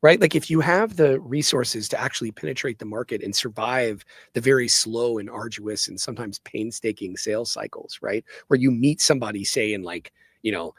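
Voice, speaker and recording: A man's voice: medium pitch, normal speed, hardly any pauses, fairly bright tone, breathy edge, no echo. A man giving conversational, emphatic interview answers as a company co-founder, often checking in with "right?". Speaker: company co-founder, podcast guest.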